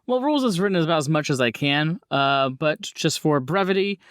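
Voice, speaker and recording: A man's voice: fairly high pitch, expressive, brisk, a bit bright, clean tone, no echo, studio quality. A man hosting a tabletop role-playing game as game master, explaining rules and calling on players in a casual way.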